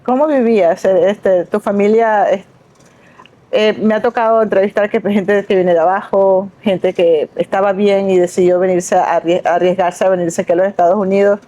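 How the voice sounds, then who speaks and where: A woman hosting a podcast, asking encouraging, conversational questions with lively reactions. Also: medium pitch, moderate pace, muffled recording. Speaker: podcast host, interviewer. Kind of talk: casual conversational interview.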